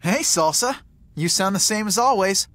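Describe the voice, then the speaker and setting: A man's voice: high voice, animated, normal speed, a bit bright. A man voicing an easygoing, wisecracking young man in a video game, laid-back and teasing. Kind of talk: video game cutscene voice acting.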